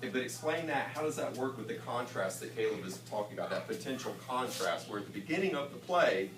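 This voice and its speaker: A male English teacher leading a class discussion in a brisk, questioning way. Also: mid-range voice, lively intonation, background noise. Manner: brisk, questioning, plays off students. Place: school classroom. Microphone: camera across the room. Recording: roomy classroom from far off.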